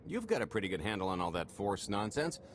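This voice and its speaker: A man's voice: lowish voice, very animated, fast talker, slight background noise. A man playing a cocky, roguish smuggler in a video game, with wry, glib banter. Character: cocky roguish male smuggler pitching a heist. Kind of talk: cinematic video game voice acting. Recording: music behind.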